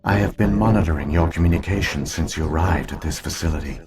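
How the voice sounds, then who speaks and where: Very deep, solemn ancient alien AI. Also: male voice, background noise. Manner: formal, measured, grave. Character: ancient alien virtual intelligence, solemn, weary guardian. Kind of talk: sci-fi game dialogue.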